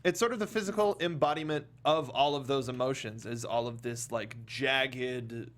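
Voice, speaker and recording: A man hosting a casual film discussion, talking in a halting way and often restarting or repeating his phrases. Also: medium pitch, a bit bright. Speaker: talk show host.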